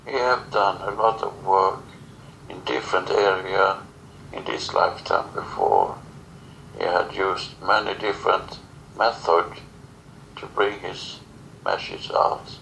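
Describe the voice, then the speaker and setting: A man who speaks English as a second language, giving solemn spiritual teaching in halting, short phrases as a channeler. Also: low-pitched, animated, vocal fry, fairly bright tone, slight background noise, poor audio. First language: not English. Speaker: spiritual channeler answering questions.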